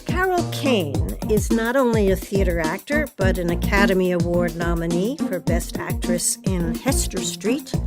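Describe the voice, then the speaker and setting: Casting: female program host, mid-pitched, scripted intro read over loud music. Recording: prominent music under the voice.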